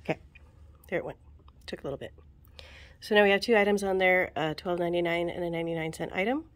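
A woman casually walking through book fair checkout steps at a school register, chatty, with ums and quick asides, recorded on a handheld phone. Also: lowish voice. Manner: chatty, with frequent ums, asides and self-corrections. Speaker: book fair volunteer trainer. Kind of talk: casual how-to walkthrough. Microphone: phone camera held over the register.

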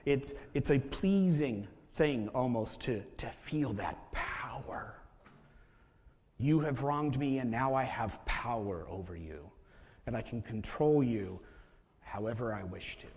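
A man in his thirties preaches to his congregation in a loose, talky way, often asking rhetorical questions and voicing the thoughts people keep to themselves. His voice sits at an ordinary middle pitch. The sound carries the long reverb of a church sanctuary, picked up by a camera across the room. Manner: conversational preaching, rhetorical questions, acted-out inner voice. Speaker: pastor. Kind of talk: sermon.

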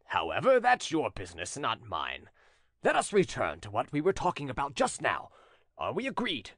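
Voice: medium pitch, wildly swooping pitch, moderate pace, a bit bright, no room sound, clean studio sound, exaggerated voice. A narrator playing a jovial, mocking, worldly treasurer with a bantering, exclamatory manner in a storybook. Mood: wry.

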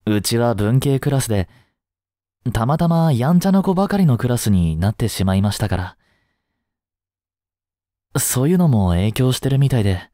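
A man playing a caring, easygoing high school teacher in a voice drama, speaking conversationally with gentle concern. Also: expressive, moderate pace, pauses often, dry recording, studio quality, lightly acted. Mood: worried, polite. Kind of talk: scripted Japanese voice drama acting.

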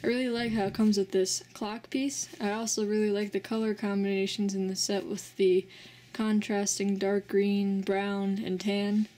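A woman casually reviewing a toy set as host of her channel, relaxed and plainly enthusiastic, pausing often. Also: medium pitch, a little hiss or hum. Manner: relaxed, plain enthusiasm with frequent filler pauses.

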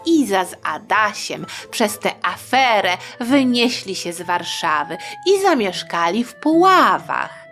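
Female history storyteller for young listeners: chatty, playful, unhurried, mid-pitched, soft music bed. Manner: playful, colloquial, expressive emphasis. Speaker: storyteller, host of a history show. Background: faint music.

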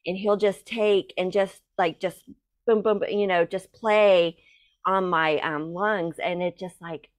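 A woman's voice: on the low side, fairly even intonation, a little slow. A woman co-hosting a casual spiritual chat, rambling and full of fillers and laughter. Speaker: co-host.

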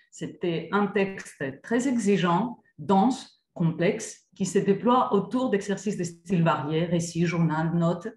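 A woman panel speaker giving a measured, courteous address. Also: lowish voice, a little mellow, roomy sound. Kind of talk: formal address.

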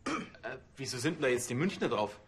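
An actor playing an eager, fussy police officer who talks in a chatty, colloquial way. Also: male voice, mid-range voice, expressive, brisk, a little hiss or hum, lightly acted. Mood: awkward.

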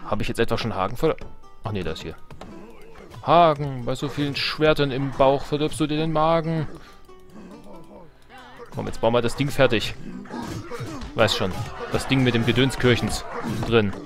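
A man's voice: medium pitch, measured pace, long pauses. A man giving casual, jokey let's play commentary on a strategy game, muttering to himself and to the game's characters. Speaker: let's player, host. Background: game music and sounds.

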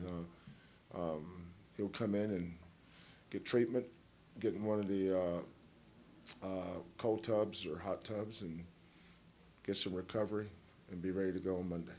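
A man coaching a basketball team speaks at a postgame press conference, his voice pitched on the low side. He talks in a folksy, rambling way, reaching for homespun analogies and gentle teasing. Faint room noise sits under his words. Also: steady tone, moderate pace.

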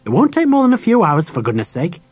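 A man's voice: high voice, huge pitch swings, fast, darkish tone, slightly rough, pitched above the performer's own voice, heavily acted. A man voicing a talkative, opinionated middle-aged schoolmaster in an audiobook, with an emphatic teacherly manner. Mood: stern.

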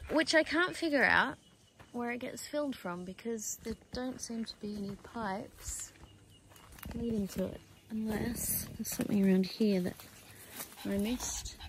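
A woman giving casual, off-the-cuff commentary as she explores a campsite, musing aloud and trailing off. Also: mid-range voice. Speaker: camper filming a walk-around vlog. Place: outdoors at a bush campsite. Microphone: handheld phone or camera, behind the lens. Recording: outdoor handheld recording with background noise.

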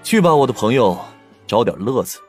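A man's voice: medium pitch, sing-song, moderate pace. Man playing a roguish prince with swooping delivery. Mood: jovial teasing. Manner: theatrical, effusive. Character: jovial, roguish pleasure-loving prince, hearty and familiar. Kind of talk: dramatized fantasy audiobook acting. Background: music behind the voice.

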